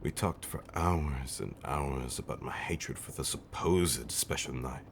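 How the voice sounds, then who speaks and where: A man's voice: very deep voice, measured pace, gravelly, slight background noise, heavily acted. A man playing an affectionate, centuries-old vampire husband in an ASMR roleplay, telling stories in a theatrical, teasing, old-fashioned way with dramatic swells and whispers. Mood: wistful, fond.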